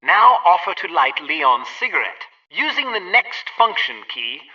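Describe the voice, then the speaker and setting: An actor playing a brisk gadget-lab instructor in a video game, giving precise, emphatic training directions. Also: male voice, a little high, big pitch swings, recorded from a distance, lo-fi sound. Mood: brisk, instructive.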